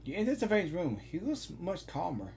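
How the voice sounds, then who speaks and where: A woman's voice: fairly low pitch, sing-song, normal speed, dark tone, a little hiss or hum. A woman giving casual reaction commentary on a video game as she plays, often repeating her phrases. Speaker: reaction streamer.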